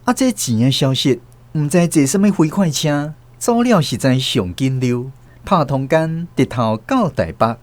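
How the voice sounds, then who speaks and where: A man's voice: fairly high pitch, animated, a little slow, no echo. An actor with a Taiwanese accent playing a reflective man narrating his family's past in a scripted radio story. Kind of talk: literary scripted first-person story narration. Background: music under the voice.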